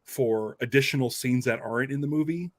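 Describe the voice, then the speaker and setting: A man co-hosting a podcast, chatting casually in a halting, rambling way. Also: medium pitch, dry recording, clean studio sound. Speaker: podcast host. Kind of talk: casual chat.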